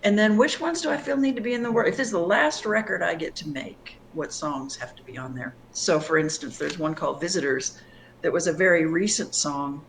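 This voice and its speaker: A woman's voice: lowish voice, brisk, slight background noise. A middle-aged woman singer-songwriter from New York, talking thoughtfully about her music in an interview, often restarting sentences. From Long Island, New York. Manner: thoughtful, reflective, with many restarts. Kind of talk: interview conversation.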